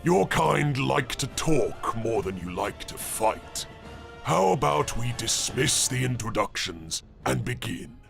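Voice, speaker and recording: Ancient fantasy golem: extremely gravelly, rumbling, slow, dry and menacing. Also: male voice, lively intonation, music in the background, heavily acted. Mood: taunting, menacing. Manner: slow, deliberate, taunting. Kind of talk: fantasy audiobook character voice.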